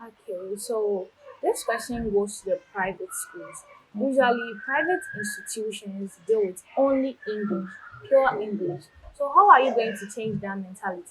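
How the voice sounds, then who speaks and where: A woman's voice: medium pitch, normal speed, crowd noise, poor audio. Woman host pressing with questions. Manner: probing, pressing with follow-up questions. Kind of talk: questioning students on a TV show.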